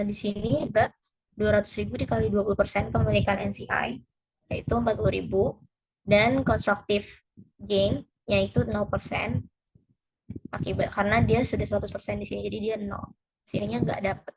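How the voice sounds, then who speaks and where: A woman's voice: mid-range voice, steady tone, moderate pace, slight background noise, rough recording. A young woman giving an informal tutorial, conversational and a little hesitant, mixing in English terms. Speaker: presenter explaining an accounting lesson.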